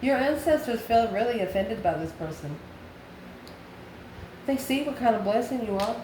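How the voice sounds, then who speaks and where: A woman's voice: a little high, expressive, normal speed. Woman tarot reader in an echoey, noisy room. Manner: folksy, familiar, addresses listener as honey and baby. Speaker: tarot reader, channel host. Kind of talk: tarot reading addressed to viewers. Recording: echoey room with clear background noise.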